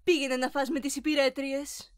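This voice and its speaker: A woman's voice: high voice, flat delivery, no room sound, studio quality, lightly acted. A woman in an amateur cartoon dub playing a stern, haughty wealthy mother with an imperious, scolding manner. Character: stern, haughty rich lady and doting mother. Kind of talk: amateur animated film dub acting.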